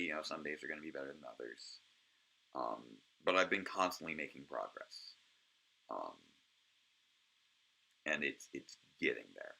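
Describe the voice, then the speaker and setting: A man with a naturally low voice, hosting a casual solo commentary with frequent ums and restarts. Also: gravelly, pushed and bright, low-quality recording. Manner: hesitant, full of ums and restarts. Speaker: host of a review series.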